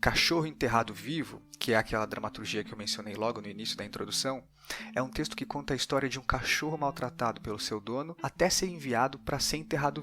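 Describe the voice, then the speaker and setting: A man with a Brazilian accent narrating a scripted podcast as its host. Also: medium pitch.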